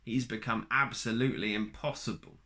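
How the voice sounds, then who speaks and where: A man's voice: mid-range voice, steady tone, fairly quick, sharp tone, close to an everyday voice. A man voicing a gloomy little round man who complains in a heavy, downcast way in a storybook. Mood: glum.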